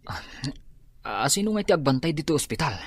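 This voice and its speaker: A man's voice: on the high side, very animated, brisk, slightly rough, dry recording, exaggerated voice. A man acting a kindly, concerned older brother in a radio drama.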